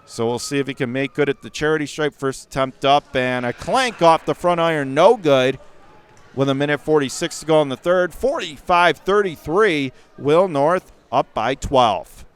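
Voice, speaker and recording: A man calling high school basketball on radio, with rapid play-by-play that jumps to shouts on big shots, in a school gym. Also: clear, resonant tone. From Western New York. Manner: rapid calls that jump to shouts on big plays.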